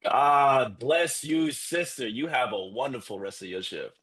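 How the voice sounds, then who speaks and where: An actor playing an exhausted, lovestruck young man in a live script read, earnest and halting, with a husky voice. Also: mid-range voice, fairly bright tone. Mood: grateful, weary. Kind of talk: live screenplay table read.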